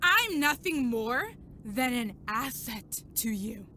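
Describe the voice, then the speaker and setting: A woman's voice: fairly high pitch, very animated, slow pace, sharp tone, heavily acted. A woman playing a defiant, wounded young agent in an audio drama, bitter, sarcastic and emotionally raw. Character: defiant, wounded young female agent, daughter of a cold commander. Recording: clear ambient noise behind voice.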